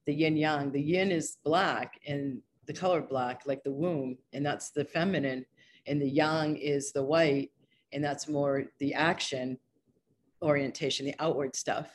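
A woman's voice: low voice, fairly even intonation, measured pace, slight background noise. A woman speaking as a podcast guest, a healer and coach explaining ideas in an earnest, discursive, conversational way. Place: online video call.

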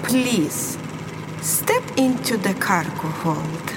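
A stern woman in an audio drama speaks slowly with a lively, swooping pitch and an officious firmness. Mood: stern, insistent. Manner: deliberate, officious, firm. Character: stern, unbending Russian woman arranging a secret escape. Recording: noisy engine and vehicle ambience.

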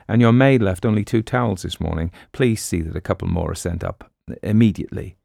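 Low gravelly know-it-all con guy bossing people around, a man doing him in an audiobook. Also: a little fast, darkish tone, no room sound, clean studio sound, exaggerated voice. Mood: bossy complaining. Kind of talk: audiobook character voice.

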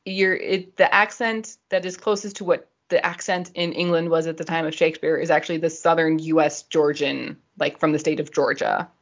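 A slightly muffled recording of a woman with a lowish voice, hosting a casual talk show. She explains things in a nerdy, digressive way with a giggly streak. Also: fairly even intonation, normal speed, hardly any pauses. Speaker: podcast host explaining language history.

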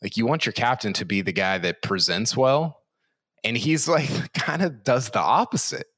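A man's voice: medium pitch, moderate pace, a bit bright, breathy edge. A man co-hosting a casual sports podcast, talking hesitantly with restarts and an amused tone. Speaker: podcast co-host. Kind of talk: casual sports roundtable chat.

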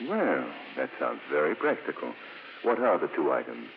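A kindly, cautious bishop with a low voice and very expressive intonation. He speaks deliberately, with thoughtful pauses, in old radio drama style. The broadcast is noisy and narrow. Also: vocal fry, full character voice. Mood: doubtful, probing. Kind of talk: 1950s radio drama acting.

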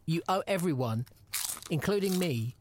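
A man's voice: on the high side, animated, normal speed, background noise, close to an everyday voice. An actor playing an exasperated, mock-serious podcast interviewer pressing his wrestler friend in an audio comedy, insistent and pleading. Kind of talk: comedy audio drama dialogue.

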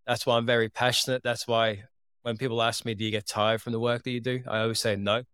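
A man's voice: mid-range voice, little pitch movement, quick pace, a bit bright, smooth, no echo, studio quality. A man in his twenties from England, with a Liverpool accent after years in Australia, earnestly telling his story as a podcast guest. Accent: Liverpool, living in Australia. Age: 20s. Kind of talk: casual interview talk.

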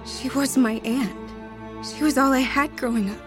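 Woman playing an earnest, higher-voiced grieving woman. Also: normal speed, lightly acted. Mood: earnest, wounded. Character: earnest grieving young woman seeking answers. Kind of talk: audio drama dialogue. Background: music.

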